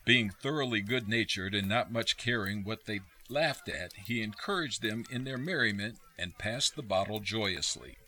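A man narrating a radio play in a measured, expressive, literary storytelling style. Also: on the low side.

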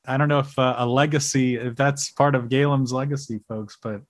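A male podcast host asks long, winding questions, circling with many fillers before he lands on the point. His voice sits at a middling pitch, recorded dry and close. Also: fairly even intonation, brisk, dry recording. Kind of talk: conversational interview.

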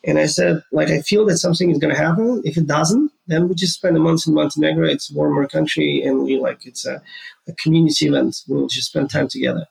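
A man's voice: a little high, steady tone, quick pace, vocal fry, darkish tone, poor audio. A man from Ukraine with a Ukrainian accent, answering interview questions earnestly and with enthusiasm. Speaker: guest, gaming entrepreneur.

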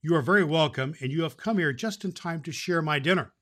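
A man's voice: a little high, fast talker, a bit bright. A man voicing a kindly old toymaker who laughs and speaks soothingly in a storybook reading. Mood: cheerful.

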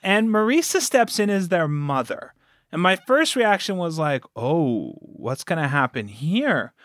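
A man speaking as a podcast guest in casual conversation, telling stories in an animated, emphatic way about his work as a game master. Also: on the high side, measured pace, dry recording, studio quality.

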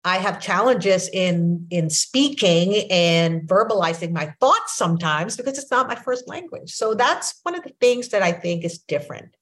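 A woman in her sixties with a Filipino accent, whose first language is Filipino, hosting a podcast and telling her story in a reflective, unhurried way. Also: medium pitch, animated, fairly bright tone. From Philippines. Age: 60s. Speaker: podcast host. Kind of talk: casual conversation.

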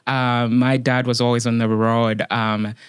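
A young man from Uganda, a guest in an interview, speaking calmly with frequent ums. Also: medium pitch, smooth, no room sound, clean studio sound. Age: young adult. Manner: calm and measured, frequent ums. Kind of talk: casual interview.

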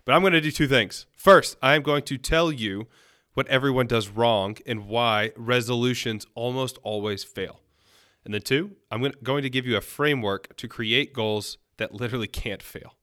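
A man's voice: mid-range voice, normal speed, bright, forceful tone, no echo, clean studio sound. A man hosting a solo podcast, talking in an upbeat, earnest and encouraging way while a little nasal from a cold. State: has a cold, a bit nasal. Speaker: podcast host. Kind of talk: solo motivational podcast talk.

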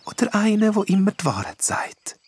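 A man voicing a gentle female forest nymph who speaks softly, half in a whisper, in a children's audio story. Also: high-pitched, big pitch swings, moderate pace, husky, pitched above the performer's own voice, full character voice. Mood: curious. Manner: soft, half-whispered, questioning.

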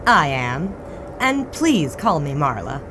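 An actress playing a poised 1920s newspaper editor, arch and playfully commanding, in a period audio drama. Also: female voice, low-pitched, sing-song, slow pace, background noise, exaggerated voice. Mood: brisk, commanding.

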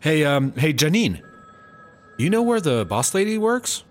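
A man's voice: on the high side, very animated, a little slow. A man playing a dutiful, hesitant new employee who worries about the rules, in a comedy audio drama. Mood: nervous, dutiful. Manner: hesitant, self-correcting. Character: anxious, dutiful male new employee, hesitant rule-follower. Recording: faint background ambience noise.

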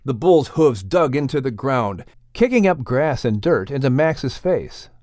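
A man narrating a children's animal story as an audiobook reader, in an expressive scripted style. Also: mid-range voice, a little slow, no room sound, clean studio sound.

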